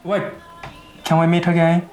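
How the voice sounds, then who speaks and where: Radio drama male actor as earnest young sweetheart: higher male voice, urgent, questioning, faint music underneath. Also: monotone, normal speed, a little mellow, lightly acted. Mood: urgent, pleading.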